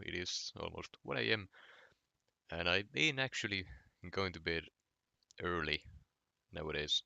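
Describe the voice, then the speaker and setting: A man's voice: sits low, vocal fry, no echo, muffled recording. A young man from Finland with a Finnish accent, chatting casually on a late-night gaming stream while answering his chat. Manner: relaxed, reading chat aloud and answering.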